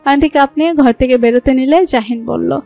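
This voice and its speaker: A woman's voice: high-pitched, brisk, mellow, faint music behind. A woman with a Bangladeshi accent reading scripted narration for a romantic story.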